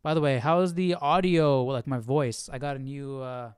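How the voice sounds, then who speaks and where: A man hosts a casual livestream study at a middle pitch. He reads aloud and muses informally on what he reads. The close microphone gives a dry sound. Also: fairly even intonation, moderate pace, darkish tone, smooth, dry recording. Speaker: livestream host. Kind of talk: casual Bible study chat, reading aloud and commenting. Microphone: close microphone with windscreen.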